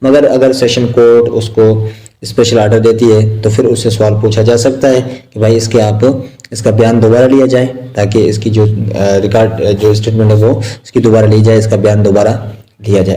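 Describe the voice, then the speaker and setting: A man with a Pakistani accent giving an informal law lecture, explaining point by point in a repetitive, halting way with English terms mixed in. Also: on the low side, fairly even intonation, mellow, poor audio.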